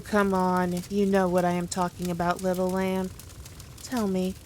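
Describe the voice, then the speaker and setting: A woman playing a powerful demon queen in an ASMR roleplay, condescending, teasing and theatrical, with deliberate, drawn-out phrasing. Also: mid-range voice, a little hiss or hum, natural voice. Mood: teasing, superior. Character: powerful demon queen, haughty, teasing, dominant, toying with her foe. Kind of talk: scripted ASMR roleplay addressed to the listener.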